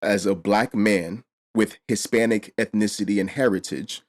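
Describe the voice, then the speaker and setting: A man's voice: lowish voice, moderate pace, a little hiss or hum. Guy hosting a talk, blunt, cusses a lot, really presses his points. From Mexico. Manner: blunt, profane and emphatic. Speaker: host. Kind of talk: casual discussion.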